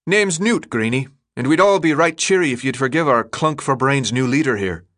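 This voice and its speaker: A man's voice: mid-range voice, lively intonation, a little slow, fairly bright tone, husky, pitched above the performer's own voice. A man voicing a friendly, cheeky teenage boy with a thick, odd accent and breezy slang in an audiobook. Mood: playful.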